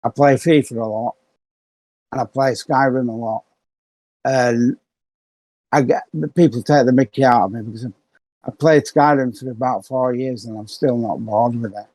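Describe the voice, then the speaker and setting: A man's voice: medium pitch, fairly even intonation, normal speed, pauses often, darkish tone, dry recording, low-quality recording. Middle-aged guy hosting a chill podcast, kinda rambly, pokes fun at himself, dry close mic. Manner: chatty, rambling, self-mocking. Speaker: podcast host. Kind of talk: casual chat.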